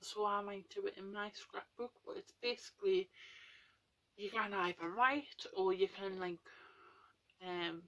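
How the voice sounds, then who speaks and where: A young woman with a nasal, breathy voice, chatting casually and rambling at home while getting ready for bed. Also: a little slow, sharp tone. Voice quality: nasal, breathy, high-pitched voice. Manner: chatty, rambling, with many fillers. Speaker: vlogger. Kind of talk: casual chat to camera.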